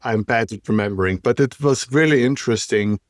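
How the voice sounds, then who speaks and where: A man's voice: mid-range voice, a little fast, no echo, clean studio sound. A man hosting a podcast in casual chat, rambling and stumbling over his words while searching for them. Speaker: podcast host.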